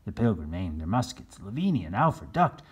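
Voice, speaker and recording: Male storybook reader: very lively pitch swings, husky tone, takes his time. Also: fairly quick. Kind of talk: expressive children's read-aloud narration.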